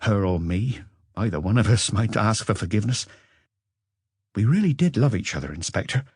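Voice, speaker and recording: A man voicing an educated Frenchman who looks back on a passionate marriage with regret, in a measured, confessional audiobook reading. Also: on the low side, expressive, darkish tone, husky, no echo, clean studio sound, voice pushed up. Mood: wistful, confessional. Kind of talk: audiobook character dialogue.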